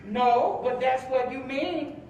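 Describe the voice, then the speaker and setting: Woman acting very high-pitched, pleading mother. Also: takes it slowly, mellow, dull audio. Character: worried, protective mother and preacher's wife, standing her ground. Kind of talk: staged play reading before a live audience. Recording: distant echoey hall recording, faint background noise.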